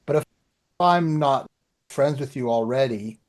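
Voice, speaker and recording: Mid-pitched man in casual podcast chat. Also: unhurried, a little mellow. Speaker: podcast host.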